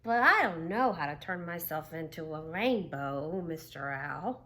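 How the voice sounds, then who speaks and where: A woman voicing Frizzle, a curious, excitable young male creature in a children's storybook. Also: sits low, unhurried, voice pushed down, exaggerated voice.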